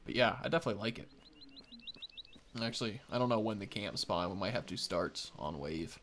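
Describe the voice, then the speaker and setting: A man's voice: on the low side, animated, brisk, fairly bright tone. A man, giving informal, enthusiastic game preview commentary. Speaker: gaming video host. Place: small indoor room at a desk. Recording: faint background noise and clicks.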